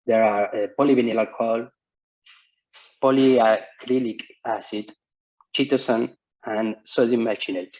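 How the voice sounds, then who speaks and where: Slow, halting Argentine man on a call. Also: mid-range voice, steady tone, a little mellow. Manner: hesitant, halting non-native English with many restarts. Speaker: researcher giving a conference talk. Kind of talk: online conference lecture. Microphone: video call. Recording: muffled video-call audio.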